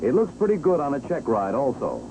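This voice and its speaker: An American man narrating a 1960s instructional film, explaining step by step in a measured, clear way. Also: medium pitch, wildly swooping pitch. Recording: old 1960s film soundtrack, engine-like background noise.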